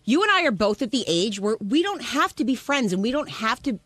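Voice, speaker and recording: A woman's voice: fairly high pitch, very animated, brisk, a bit bright, no room sound. A woman in a heated argument, speaking in a blunt, confrontational way with plenty of profanity. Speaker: show staff member.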